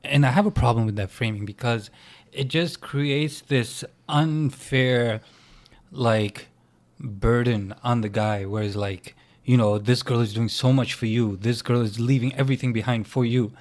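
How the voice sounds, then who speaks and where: A man's voice: medium pitch, steady tone, a little slow, darkish tone. A man from Canada co-hosting a casual podcast conversation, asking questions and steering the talk. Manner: inquisitive, guides the talk with questions. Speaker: co-host.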